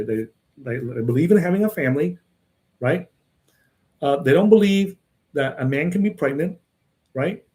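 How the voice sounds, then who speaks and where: Rambling man who keeps asking "right?". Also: fairly high pitch. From Texas. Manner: rambling, repeats words, often tags "right?" and "okay?". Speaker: channel host answering viewer questions. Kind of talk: casual livestream monologue.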